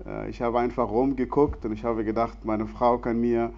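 A man from Sudan, in his forties, talks in German, pausing often and laughing easily. His voice sits in a middle register. The sound is slightly dull, recorded in a church hall. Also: mid-range voice, level tone, creaky, a little mellow. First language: Arabic. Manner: earnest, many hesitations, non-native grammar, laughs easily. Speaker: guest speaker, theologian. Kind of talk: church stage interview.

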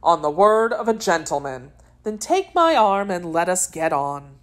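A woman's voice: on the low side, very animated, unhurried. Casting: woman reader as young male gallant, under twenty, persistent, earnest, courtly audiobook delivery.

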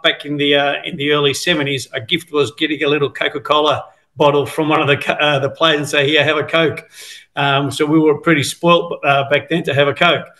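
A man's voice: a little high, bright, forceful tone, low-quality recording. A man in his fifties, born in Italy, telling stories about his football past on a video call, rambling with many fillers and restarts. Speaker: guest, former footballer. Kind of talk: casual interview over video call. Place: home room, indoors. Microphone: video call, laptop camera.

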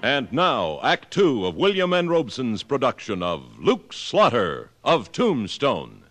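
Male American radio announcer, 1950s style: very wide intonation, medium pitch, polished, dramatic scripted delivery. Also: huge pitch swings, unhurried, loud music behind the voice.

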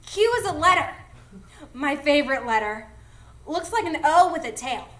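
A woman's voice: high-pitched, lively intonation, moderate pace, a bit bright. A woman playing a cheeky, childlike, scatterbrained fairy in a live comedic radio drama, chatty and naive. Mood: curious, playful.

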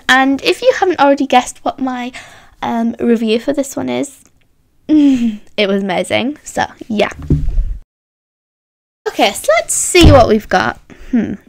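A woman's voice: on the high side, vocal fry. A woman hosting a voiced roleplay vlog, bubbly and chatty, reacting with gasps and hums. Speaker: roleplay channel host.

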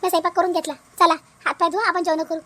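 A very high, fast-talking village wife acted by a woman speaks rustic Vidarbha Marathi. She is shrewd, practical and aggrieved, a scolding mother from a comic family cartoon. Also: little pitch movement, fairly bright tone. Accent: rural Vidarbha Marathi.

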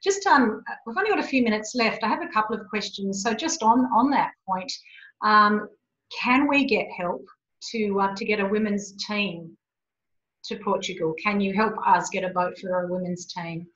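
A woman from Australia hosting a video call interview, asking questions with soft um fillers. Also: medium pitch, moderate pace. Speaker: host. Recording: muffled roomy video call audio.

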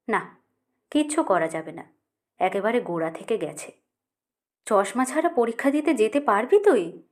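A woman reading a literary short story as an audiobook narrator, in a measured, expressive way. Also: medium pitch.

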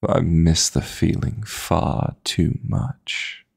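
A man playing a seductive, possessive yet tender dream demon lover in an intimate audio roleplay, murmuring flirtatious endearments in a husky, breathy voice close to the mic. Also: extremely slow, darkish tone, no echo, heavily acted. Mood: seductive, adoring. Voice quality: gravelly, husky, breathy low voice. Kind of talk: intimate romantic sleep-aid audio roleplay. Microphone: close, dry studio-style microphone.

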